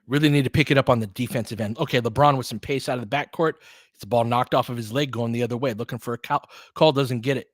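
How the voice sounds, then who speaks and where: A man's voice: mid-range voice, steady tone, fast, dry recording, studio quality. A man giving informal, candid basketball play-by-play and opinionated commentary as the host of a fan stream.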